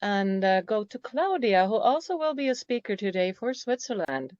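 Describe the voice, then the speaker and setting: A woman's voice: medium pitch, big pitch swings, moderate pace, a little mellow, dry recording, muffled recording. A woman hosting an online meeting, handing over briefly from one speaker to the next. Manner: brief handovers between speakers. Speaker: meeting host. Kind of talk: moderating introductions.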